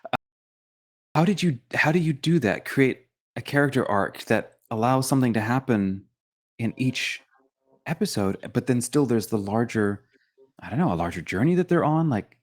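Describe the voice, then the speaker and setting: Mid-pitched man, curious casual interviewer. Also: animated, normal speed. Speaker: interviewer, host.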